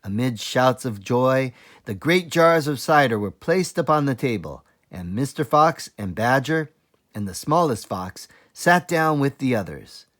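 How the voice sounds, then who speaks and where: A man reading a children's book aloud as a teacher librarian, explaining things deliberately for young listeners. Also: medium pitch, lively intonation, a bit bright. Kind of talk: children's storybook read-aloud.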